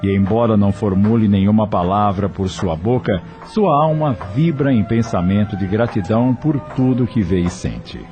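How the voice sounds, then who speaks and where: A man narrating a radio drama from a script in an expressive, literary storytelling manner. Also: fairly low pitch, moderate pace, few pauses, muffled recording, soft background music.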